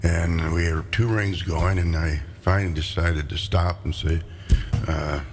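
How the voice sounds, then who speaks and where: A man's voice: sits low, steady tone, measured pace, noisy background. A man, an auctioneer, chatting on a radio show in an easygoing, hesitant way with many fillers. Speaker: auctioneer guest. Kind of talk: casual interview chat. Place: radio studio.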